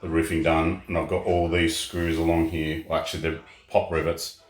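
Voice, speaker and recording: A man's voice: low voice, little pitch movement, normal speed. A man chatting casually for a vlog, plain-spoken and brief, as he shows hands-on work. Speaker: vlog co-host showing renovation work. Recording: roomy echo with faint music behind. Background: music from a radio.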